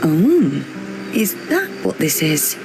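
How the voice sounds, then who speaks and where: An actress playing a guarded, wry young teacher with quiet melancholy, in a measured, naturalistic video game cutscene style. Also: female voice, low voice, huge pitch swings, exaggerated voice. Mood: wry, teasing. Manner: dry, teasing, measured. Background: music.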